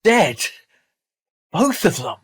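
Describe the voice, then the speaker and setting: Earnest gentleman, very animated. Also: high-pitched, very slow, breathy edge, exaggerated voice. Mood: panicked. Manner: earnest, theatrical, formal. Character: anxious, reasonable gentleman soothing his frightened friend. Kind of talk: radio drama acting.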